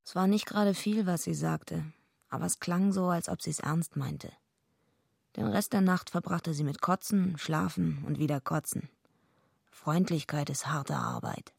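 An actress playing a tough, streetwise, defiant female loner in a radio drama, with a blunt, slangy, deadpan delivery. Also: deep voice, measured pace, creaky, breathy edge, dry recording, clean studio sound, full character voice. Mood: dry narration. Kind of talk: radio drama acting with first-person narration.

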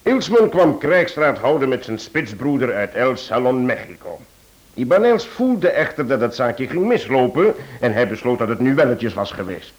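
Man acting a genial detective, radio play, measured explanatory delivery, medium pitch. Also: big pitch swings, close to an everyday voice. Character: genial male detective explaining a solved smuggling case.

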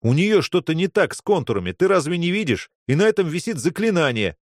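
A man's voice: a little high, very animated, quick pace, no echo, studio quality, pitched above the performer's own voice. A man voicing a fiery, stressed young woman medic in an audiobook story, exclaiming in an agitated manner.

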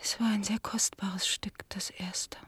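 An actress playing an ashamed, pleading middle-aged daughter in a radio drama, confessing in a hushed voice. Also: female voice, mid-range voice, flat delivery, a little slow, husky, whispered. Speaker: the collector's daughter.

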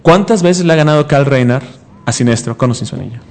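A man's voice: mid-range voice, fast talker, darkish tone. A man of twenty-five in a heated, casual debate as a comics show host, emphatic and argumentative, often repeating himself and cutting in.

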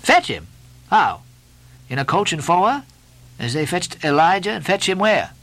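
Man putting on a high, swooping, bossy ship's officer. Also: sing-song, unhurried, a bit bright, no echo, full character voice. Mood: teasing, commanding. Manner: badgering, theatrical, nautical commands and mock-solemn questions. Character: bossy, mocking male ship's officer at supper.

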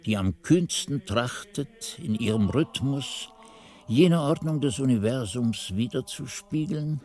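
A man playing a devout, learned monk who recalls his novice days in measured, reverent radio play narration. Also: mid-range voice, animated, darkish tone. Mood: reverent, descriptive. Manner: measured, reverent, ornate phrasing. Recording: music under the voice.

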